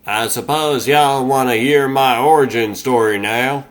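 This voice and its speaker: A man voicing a slow-witted, folksy turtle superhero in a comic dub, with a rambling American Southern drawl. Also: mid-range voice, natural voice. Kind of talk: comic dub character acting.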